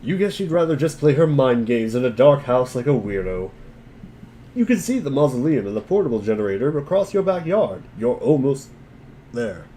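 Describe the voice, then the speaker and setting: A man's voice: medium pitch, big pitch swings, normal speed, mellow, a little hiss or hum, low-quality recording. A man doing a sardonic, profane dramatic reading mixed with casual chat among friends. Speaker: narrator and reader of a webcomic dubbed as a dramatic reading.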